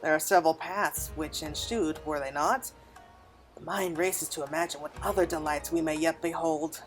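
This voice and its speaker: A woman voicing a self-satisfied gentleman merchant and collector from a fantasy game, with florid, archaic diction. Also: low voice, moderate pace, close to an everyday voice. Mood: delighted, grandiose. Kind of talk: reading game cutscene dialogue aloud. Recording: game music behind the voice.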